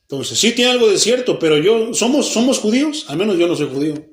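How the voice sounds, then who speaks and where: Mexican pastor in an echoey church hall. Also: male voice, sits high, fairly quick. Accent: Mexican Spanish. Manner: asks the congregation questions and answers them himself; acts out the people he quotes, even mock babbling. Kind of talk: Bible study sermon.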